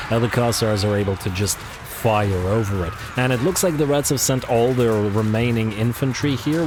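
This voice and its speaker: A man casually commentating on a strategy game he is playing, chatty with lots of fillers, his voice a little raspy from coughing. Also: lowish voice, noisy background. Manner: relaxed, chatty, frequent fillers and repeated words. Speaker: let's play commentator.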